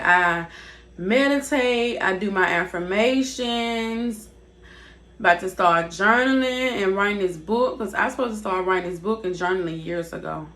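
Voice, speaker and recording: A woman's voice: medium pitch, fairly bright tone. A woman of twenty-five talking candidly in a personal vlog, casual and rambling, repeating herself and leaning on fillers, recorded on her device's built-in mic rather than a proper microphone. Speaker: vlogger. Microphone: device's built-in mic, not her usual microphone.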